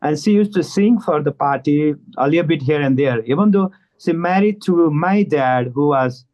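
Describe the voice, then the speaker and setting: A man's voice: fairly high pitch, animated, normal speed, darkish tone, rough recording. A man with a Nepali accent, a guest expert talking on a video call in a conversational interview, hesitant with many fillers and restarts. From eastern Nepal.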